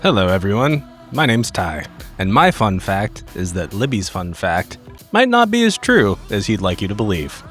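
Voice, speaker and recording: Guy with a lowish voice just chatting on a ttrpg podcast, some music behind. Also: big pitch swings, normal speed, fairly bright tone. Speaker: player and regular on a tabletop roleplaying podcast. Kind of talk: casual chat.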